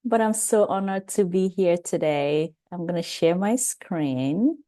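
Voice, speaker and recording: A woman's voice: fairly low pitch, animated, measured pace, mellow. A woman from Cameroon with a Cameroonian accent, giving a chatty, personal webinar presentation over a video call. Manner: chatty, personal, asides to the audience. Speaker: guest presenter, pharmacist.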